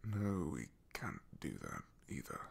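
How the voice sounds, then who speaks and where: Breathy, gravelly, awkward knight acted by a man. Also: sits low, huge pitch swings, full character voice. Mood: apologetic, earnest. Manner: hesitant, apologetic, halting with throat clearing. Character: awkward, loyal, deferential male knight guarding a princess. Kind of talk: ASMR roleplay, soft half-whispered acting.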